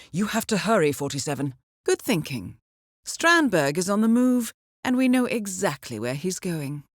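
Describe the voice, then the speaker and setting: A poised female intelligence handler from a stealth video game, briefing her assassin with cool professionalism. Her voice sits on the low side and moves with lively, expressive intonation. She stays formal and understated, and the sound is close and dry. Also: dry recording. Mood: composed, alert. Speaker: mission handler. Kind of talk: scripted video game mission briefing voice-over.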